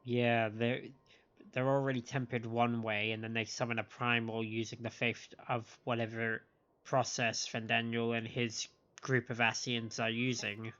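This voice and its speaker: A man's voice: mid-range voice, level tone, unhurried, a bit bright. Chatty male streamer, slightly dull audio. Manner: joking, chatty. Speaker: live streamer, host. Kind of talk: casual game playthrough commentary. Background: faint game music.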